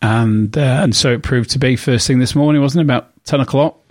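A man co-presenting a casual podcast, halting as he searches for words. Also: medium pitch, darkish tone, no room sound, studio quality.

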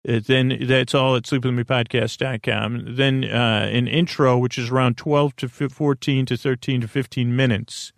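A man hosting a sleep podcast with a creaky voice, meandering haltingly and often interrupting and repeating himself in a bedtime intro. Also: medium pitch, level tone, no room sound, studio quality. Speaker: podcast host.

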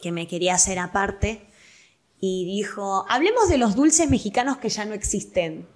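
Animated Argentine woman telling stories. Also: mid-range voice, normal speed. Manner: animated storytelling, acts out quoted dialogue. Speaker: actress and comedian guest. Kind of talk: casual interview chat.

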